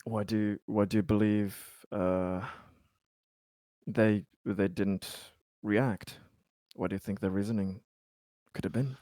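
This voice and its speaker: A man playing an earnest, diplomatic colony official in a tabletop roleplay, speaking formally in wordy, halting phrases. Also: lowish voice, fairly even intonation, mellow, no room sound, studio quality. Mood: hopeful, deliberate. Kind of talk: improvised tabletop roleplay dialogue.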